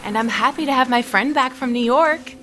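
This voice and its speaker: A woman's voice: high-pitched, brisk, a bit bright, faint music behind. An actress playing a friendly, supportive woman doctor in conversational audio soap opera style. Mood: friendly, curious.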